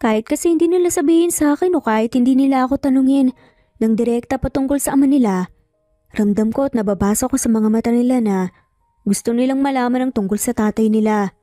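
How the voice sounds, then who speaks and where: A woman's voice: on the high side, fast, dark tone, no room sound, clean studio sound, soft background music, close to an everyday voice. A woman voicing an exasperated, proud Filipino woman lawyer and mother in a story, sarcastic and reproachful. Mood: worried.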